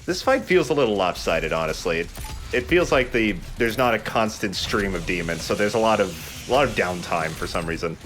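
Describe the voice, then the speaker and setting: A man's voice: on the low side, expressive, fairly quick, a bit bright, soft background music. A man hosting a let's play, giving casual, explanatory gameplay commentary.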